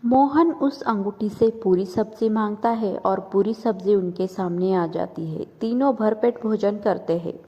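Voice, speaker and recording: A woman's voice: medium pitch, unhurried, hardly any pauses, mellow. A woman narrating a scripted moral story for a cartoon. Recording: dull, lo-fi sound with long reverb.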